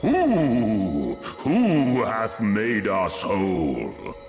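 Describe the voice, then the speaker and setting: A grandiose male deity for a fantasy game, revelling in battle. He declaims archaic lines extremely slowly with dramatic swells and dips. His voice echoes widely over music. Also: fairly low pitch, huge pitch swings, exaggerated voice. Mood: pompous, amused. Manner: slow, pompous, declamatory, archaic diction. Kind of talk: archaic theatrical game cutscene acting. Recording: echoing voice with music behind.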